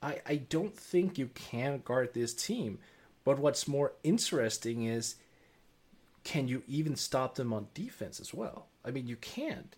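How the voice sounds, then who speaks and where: A man co-hosts a sports podcast, working through his analysis in a halting, restarting way with small laughs. His voice sits mid-range, unremarkable in pitch. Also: lively intonation, a little mellow, husky. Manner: halting, restarts words, chuckles. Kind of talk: casual sports analysis chat.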